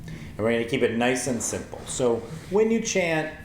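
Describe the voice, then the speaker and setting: A man in his forties with a low voice, teaching a yoga lesson slowly and gently with many pauses and ums. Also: very animated. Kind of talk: calm spoken yoga lesson with chanting. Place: small wooden-floored room with paper screen, indoors. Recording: echoey room, faint ambient noise.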